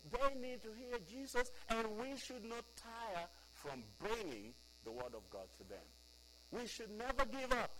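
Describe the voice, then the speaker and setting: Man preaching with bright emphasis. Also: high voice, a little hiss or hum, low-quality recording. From Kenya. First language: Luo. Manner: emphatic, repeats key phrases, swings from soft to loud. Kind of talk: sermon.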